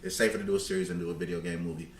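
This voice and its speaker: A man's voice: sits low, flat delivery, very fast. A man co-hosting a podcast, talking casually and emphatically with slangy opinions. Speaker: podcast co-host. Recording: roomy echo, clear background noise.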